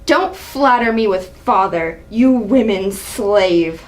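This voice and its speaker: A woman's voice: medium pitch, lively intonation, slow pace, pitched below the performer's own voice, poor audio. A woman acting an enraged, proud king and father in a dramatic reading of Greek tragedy, with an indignant, imperious delivery. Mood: furious. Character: proud, enraged male king, father berating his son.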